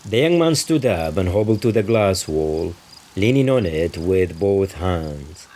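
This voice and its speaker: A man's voice: deep voice, very animated, a little slow, warm tone. A man, the author, reading a literary extract from his novel as narration.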